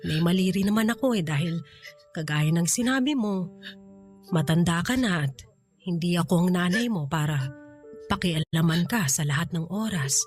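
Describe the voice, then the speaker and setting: Woman acting low-voiced, motherly, protective aunt. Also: natural voice. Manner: soothing, earnest. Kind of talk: Filipino radio drama acting.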